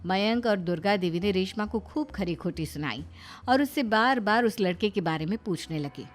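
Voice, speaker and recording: A woman's voice: medium pitch, lively intonation, moderate pace, soft background music. A woman storyteller reading scripted narration of a tale.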